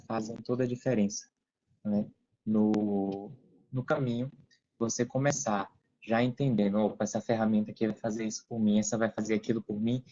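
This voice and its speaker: A man's voice: on the low side, warm tone. A Brazilian man giving casual, explanatory advice as an interview guest on a muffled online call. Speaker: interview guest, digital entrepreneur. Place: remote video call.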